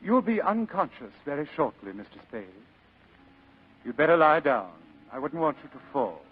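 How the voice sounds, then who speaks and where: An actor playing a genteel, sly older crime boss in a 1940s radio drama, with ornate, leisurely, courtly speech. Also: male voice, fairly high pitch, very animated, normal speed. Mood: pleased, sly. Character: genteel, sly criminal mastermind, a fat older man. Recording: muffled vintage radio broadcast, rough sound.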